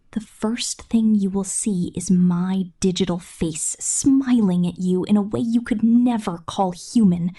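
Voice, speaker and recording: A woman's voice: medium pitch, dark tone. A woman voicing a domineering, sadistic female corporate killer robot in a menacing, half-whispered ASMR roleplay monologue. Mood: possessive, menacing. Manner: menacing, contemptuous, commanding, breathy half-whispered delivery.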